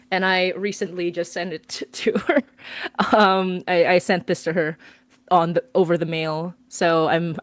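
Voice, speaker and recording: A woman's voice: lowish voice, no echo. A woman chatting casually on a livestream as a guest artist, laughing often and trailing off with ums.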